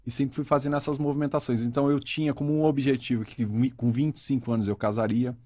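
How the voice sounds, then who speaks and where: A man in his forties from Brazil, a guest in a casual interview, telling his career story in a talkative, reflective way and often tagging his sentences with a quick question. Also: medium pitch, steady tone, darkish tone, dry recording. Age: 40s. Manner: talkative, reflective, frequent "né?" tags.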